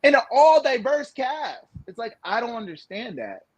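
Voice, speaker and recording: A man in his thirties from the United States, co-hosting a casual podcast chat, animated and rambling with lots of fillers and restarts. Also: extremely high pitch, moderate pace, no room sound. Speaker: podcast co-host.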